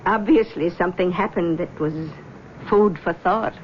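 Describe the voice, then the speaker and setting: An actress playing a brisk, insistent middle-aged mother in a radio drama, matter-of-fact and pressing. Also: fairly low pitch, lightly acted. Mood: pressing, curious. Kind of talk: 1970s radio drama acting. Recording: muffled, noisy old radio recording.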